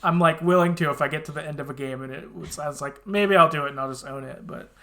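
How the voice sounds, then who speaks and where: A man's voice: a little high, fast talker, pushed and bright. A man hosting a casual podcast, talking informally and supportively with loose, sweary phrasing. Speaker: podcast host.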